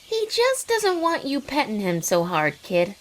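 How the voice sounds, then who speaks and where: A woman's voice: high voice, huge pitch swings, a little slow, full character voice. A woman voicing a brash, sporty young female cartoon pegasus pony with a casual, blunt, impatient manner. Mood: casual advice.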